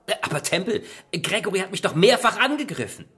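A man's voice: high voice, big pitch swings, fast talker, sharp tone, husky, full character voice. A man playing a cornered, indignant villain in a radio crime drama, blustering and threatening. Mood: evasive, nervous.

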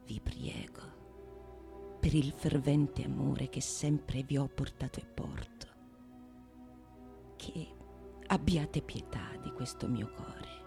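A woman's voice: very deep voice, takes it slowly, creaky, long pauses, over music, full character voice. A woman playing a devoted male suitor, pleading breathily and intensely in flowery theatrical language. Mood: pleading, amorous. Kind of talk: theatrical monologue of Renaissance comedy.

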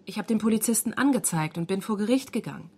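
A woman's voice: mid-range voice, lively intonation, fast, darkish tone, slightly rough. A woman voicing the testimony of a wronged wife as a documentary voice-over. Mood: indignant recounting. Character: wronged wife of an abducted man, recounting events. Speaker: voice-over translator. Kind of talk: German voice-over of interview testimony.

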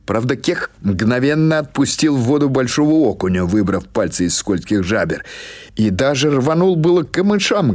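A man reading literary prose as an audiobook narrator, in an expressive, measured storytelling manner. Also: fairly low pitch.

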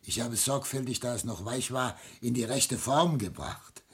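A man's voice: medium pitch, moderate pace, lightly acted. An actor playing an embittered, proud father of seventy in a classic German radio drama, declamatory and bitterly sarcastic. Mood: cold sarcasm. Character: embittered seventy-year-old father, proud, wounded patriarch.

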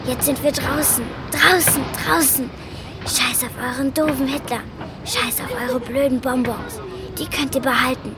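A woman's voice: sits high, steady tone, a little slow, a crowd in the background. A woman in a German radio drama playing a nine-year-old refugee girl with a childlike manner. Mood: defiant, exultant. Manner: childlike, timidly obedient to authority.

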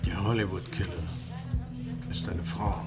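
Ein Schauspieler spielt in einem Hörspiel einen knappen, nachdenklich fragenden Kriminalkommissar. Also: male voice, deep voice, steady tone, a little slow, over loud music. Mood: grim, focused. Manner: terse, questioning. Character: terse, pensive male police detective. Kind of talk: radio drama acting.

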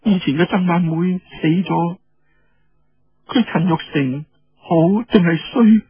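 Man voicing a plaintive young woman, high and husky. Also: full character voice. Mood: despairing, sorrowful. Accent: Cantonese. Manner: plaintive, drawn-out, tearful. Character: distraught, lovelorn young woman, naive and despairing. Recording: dull lo-fi radio sound with room echo.

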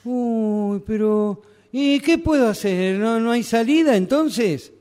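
A naive, earnest customer, voiced by a man. His voice sits high and swings widely in pitch. He asks each question slowly, as if puzzled. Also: expressive, darkish tone, heavily acted. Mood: bewildered. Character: naive male customer seeking a new world. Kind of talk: amateur radio drama rehearsal.

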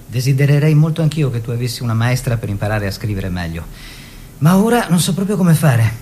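An actor playing a devoted young painter husband, earnest and protective, in a measured theatrical delivery. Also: male voice, mid-range voice, sing-song, warm tone, slight background noise, lightly acted. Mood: earnest, instructing. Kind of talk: theatrical monologue and letter reading.

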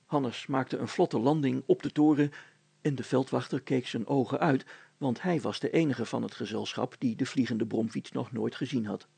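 A man's voice: medium pitch, normal speed, gravelly, dark tone, husky, dry recording. A man narrating a children's story from a script as a storyteller.

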